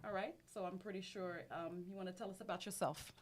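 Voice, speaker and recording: A talk show host with a lowish voice introduces her guests casually. She slips French phrases into her English as she goes. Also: fairly even intonation, a little fast. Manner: mixes French into English, chatty.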